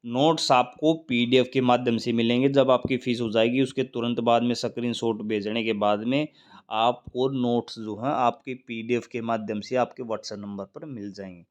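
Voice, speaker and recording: Male coaching teacher, mid-pitched voice, informal instructive address to students, repeats for emphasis. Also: steady tone, normal speed. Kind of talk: informal exam guidance talk to viewers.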